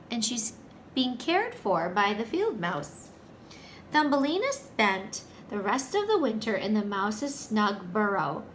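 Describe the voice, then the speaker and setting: A woman teacher reading a story aloud to young children, with simple, deliberate phrasing and questions for her listeners. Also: a little high, a little hiss or hum.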